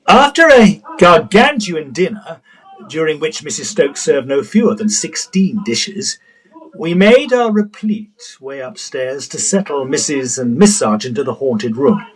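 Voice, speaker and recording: A man performs an earnest gentleman ghost hunter telling a period story. His husky voice sits fairly high and moves expressively, formal and dramatic. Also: sing-song, measured pace, exaggerated voice, low-quality recording. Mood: narrating. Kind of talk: scripted period narration and drama acting.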